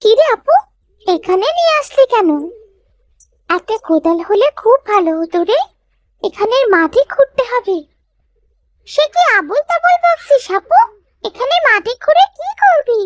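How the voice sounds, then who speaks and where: A woman voicing an excitable, easily frightened young village girl in a cartoon story. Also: very high voice, normal speed, pauses often, dry recording. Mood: curious, chatty.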